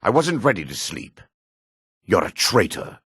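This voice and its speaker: An actor playing a solemn, world-weary dark elf man in a fantasy video game, with a raspy, measured, theatrical delivery. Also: fairly low pitch, sing-song, dry recording, studio quality, full character voice. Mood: agitated.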